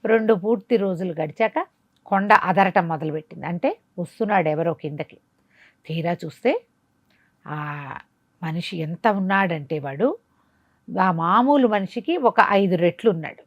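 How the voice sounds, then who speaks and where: A woman telling a folk moral tale in a conversational, dramatic way, pausing for asides and rhetorical questions. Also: lowish voice, lively intonation, dry recording, lo-fi sound. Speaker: storyteller. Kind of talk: animated oral storytelling of a folk moral tale.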